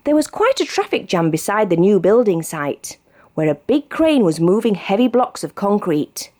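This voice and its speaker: British woman reading a children's story, very animated. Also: mid-range voice, normal speed. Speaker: storybook reader. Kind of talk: expressive children's read-aloud narration.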